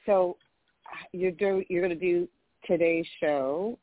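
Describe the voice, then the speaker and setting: A woman's voice: fairly low pitch, fairly even intonation, takes it slowly. A woman co-hosting a casual radio chat, bantering with exclamations and frequent restarts. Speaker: co-host. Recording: tinny phone-like dry line.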